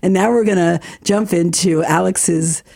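Female radio co-host, very mellow and soft-edged tone, informal chat, dry close sound. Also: fairly low pitch, measured pace, gravelly, no room sound.

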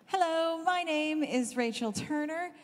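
Middle-aged Southern Californian woman, guest preacher, bright and higher-pitched, playful, recorded live in a reverberant church. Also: a little slow. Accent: Southern Californian, softened by years in the UK. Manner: animated, self-deprecating, laughs often. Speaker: guest speaker. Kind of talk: humorous storytelling sermon. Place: church hall.

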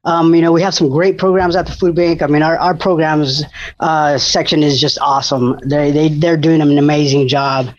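An enthusiastic man talks in a rambling way, full of fillers and restarts. His voice sits a little high, and the recording sounds dull and muffled. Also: steady tone, moderate pace. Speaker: food bank disaster preparedness coordinator. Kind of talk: casual radio interview.

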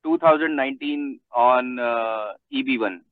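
A man's voice: medium pitch, unhurried, no echo. A man calling in with questions, speaking over a phone connection. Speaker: caller. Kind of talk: asking questions on a conference call. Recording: tinny, dry phone line.

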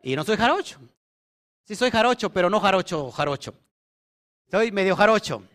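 High-voiced man preaching emphatically. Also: animated, moderate pace, fairly bright tone. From Mexico. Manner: emphatic, asks the audience questions. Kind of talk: sermon teaching.

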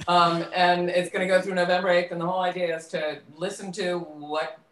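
A woman's voice: on the low side, flat delivery, a little fast. A woman speaking casually as a talk show guest, explaining things somewhat hesitantly, recorded with a roomy echo on a remote call. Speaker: guest, theater co-artistic director. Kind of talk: casual conversation.

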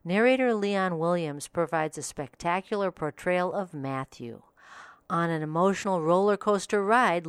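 Low-voiced woman hosting a book review podcast. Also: clean tone, dry recording. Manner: polished, even announcer delivery. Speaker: podcast host.

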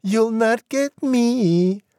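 A man voicing a small, clever, frightened storybook pig with an excitable squeal. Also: extremely high pitch, very slow, clear, resonant tone, no room sound, studio quality, pitched above the performer's own voice, full character voice. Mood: defiant. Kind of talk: animated storybook character acting.

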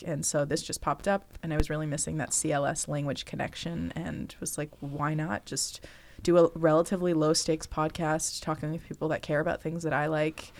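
Low-pitched young female co-host, animated and laughing, studio mic. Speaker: podcast co-host. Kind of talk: casual podcast chat. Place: recording studio.

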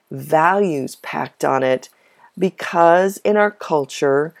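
A woman hosting a podcast solo in a casual, conversational, explanatory way, her voice a little hoarse from a cold. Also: low voice, darkish tone. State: slightly hoarse from a minor bug. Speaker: podcast host.

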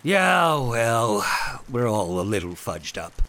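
A man's voice: medium pitch, big pitch swings, very noisy. A man playing a melodramatic, menacing robot rabbit in a comic radio play, theatrical and easily irked. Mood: petulant. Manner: slow, theatrical, petulant when interrupted. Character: menacing, melodramatic male chocolate robot rabbit villain, wistfully dying.

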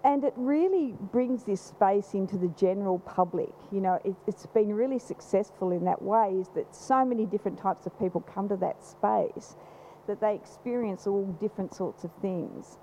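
A woman with a loud voice, speaking from the audience at a panel event, rambling with many restarts. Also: medium pitch, normal speed, hardly any pauses, a little hiss or hum. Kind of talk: unscripted comment from the floor. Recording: slightly dull live event recording.

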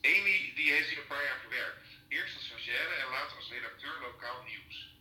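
A man's voice: medium pitch, moderate pace, bright, forceful tone, poor audio. An actor playing a guarded male colleague in an audio drama, offhand and hesitant. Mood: puzzled, suspicious. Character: guarded male newsroom colleague, casually questioning. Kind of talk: naturalistic audio drama dialogue.

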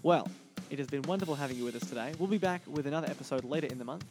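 A man's voice: on the high side, very fast, music in the background. A man hosting a podcast in an upbeat, promotional way. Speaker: podcast host. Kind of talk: podcast hosting, interview and sign-off.

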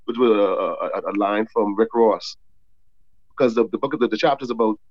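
A man from the Bahamas, a guest author, chatting casually in an interview, often repeating words as he tells animated stories. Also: lowish voice, normal speed, dry recording, lo-fi sound.

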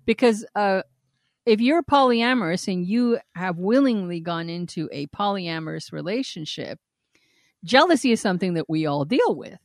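A woman's voice: medium pitch, lively intonation, moderate pace, no room sound, studio quality. A woman co-hosting a podcast, reading a listener's email aloud and giving blunt, frank advice with frequent laughter and swearing. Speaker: podcast co-host.

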